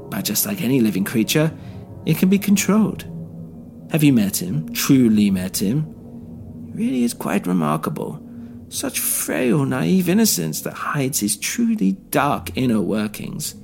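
A man voicing a smug middle-aged male villain who talks in a mocking, gloating, drawn-out way. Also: mid-range voice, huge pitch swings, vocal fry, over music, pitched above the performer's own voice, full character voice.

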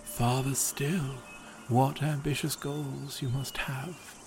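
A man voicing a humble old male god who is gentle, tearful and hoarse from a century of silence. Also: mid-range voice, little pitch movement, slow, over music, voice pushed up.

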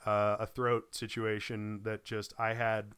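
Smooth low-voiced man chatting casually. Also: completely flat delivery, measured pace, a bit bright, no echo. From Boise, Idaho. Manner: rambling, many ums and restarts, swears casually. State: recovering from lost voice, coughing. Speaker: podcast co-host. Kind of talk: casual chat.

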